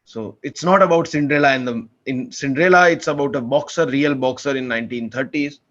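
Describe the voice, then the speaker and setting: A man's voice: medium pitch, a little fast, fairly bright tone, highs cut off. A young man from India, a PhD student chatting as a podcast guest in an earnest, enthusiastic way, often restarting his sentences. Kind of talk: casual conversational interview.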